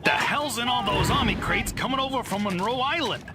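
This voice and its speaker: An actor playing a jittery, muttering, nosy soldier in a video game. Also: male voice, very high voice, expressive, a little fast, loud music behind the voice. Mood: anxious, muttering. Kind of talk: video game cutscene acting.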